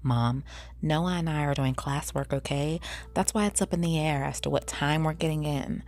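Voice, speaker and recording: A woman's voice: low voice, normal speed, a little mellow. Woman acting a pointed, exasperated young student. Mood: defensive. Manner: pointed, clipped, restrained exasperation. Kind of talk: audiobook character voice.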